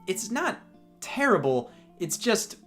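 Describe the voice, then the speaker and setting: A man's voice: sits high, big pitch swings, slow pace, fairly bright tone, faint music behind. A man hosting a video game review show, giving scripted comedic commentary in a mock-earnest way.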